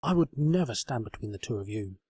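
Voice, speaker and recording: A man voicing a gentle, shy talking bedside table from a comic storybook, earnest, courtly and wistful in a formal way. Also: on the low side, animated, fairly quick, slightly rough, no room sound. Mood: tender, wistful. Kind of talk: comedic storybook reading.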